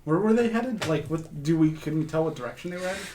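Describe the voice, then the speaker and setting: A man's voice: a little high, animated, fast talker, mellow, a little hiss or hum. A man playing a tabletop game, improvising casual dialogue with hesitant, stammering repetitions.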